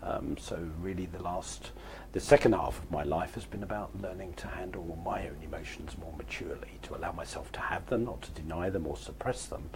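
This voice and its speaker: A hoarse middle-aged man with a croaky voice that breaks into whisper, talking informally and reflectively to camera in a halting way. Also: sits low, a little mellow, a little hiss or hum. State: hoarse, voice nearly gone. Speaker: host sharing personal reflections.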